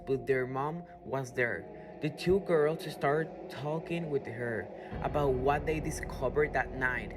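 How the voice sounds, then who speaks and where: A woman reading scripted radio drama narration haltingly, correcting small slips as she goes. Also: low voice, noisy background. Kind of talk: scripted narration, read aloud. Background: music.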